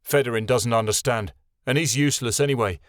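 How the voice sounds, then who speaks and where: A man's voice: mid-range voice, brisk, dry recording, studio quality, natural voice. A man voicing a shy, resentful young warlock.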